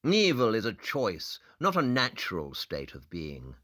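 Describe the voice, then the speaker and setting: A man's voice: on the low side, wildly swooping pitch, a little slow, sharp tone, full character voice. An actor playing a coolly logical, aloof male detective in an audio drama, with a detached, matter-of-fact, clipped delivery.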